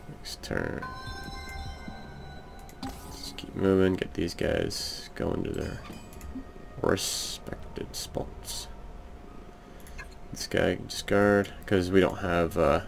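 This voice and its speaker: Brief: raspy, very low-voiced man, unhurried let's-play commentary full of hesitations, soft game music behind. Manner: hesitant, thinking aloud with many ums. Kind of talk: casual gameplay commentary. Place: home room.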